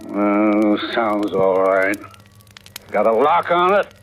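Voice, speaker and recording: An actor playing a stern, wary ship's captain with terse authority in a 1940s radio drama. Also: male voice, lowish voice, animated, slow, a bit bright, soft background music, heavily acted. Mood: grudging, stern. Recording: vintage radio recording, faint noise.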